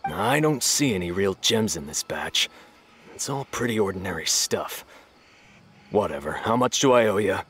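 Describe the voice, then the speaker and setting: A shady, picky buyer in a video game. His low voice grumbles dismissively over faint ambient noise. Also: expressive, moderate pace, a bit bright. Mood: grumbling, dismissive.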